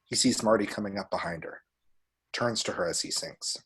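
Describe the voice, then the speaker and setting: A man with a husky voice reads screenplay action descriptions aloud as a narrator. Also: on the low side, moderate pace, fairly bright tone. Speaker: table-read action narrator.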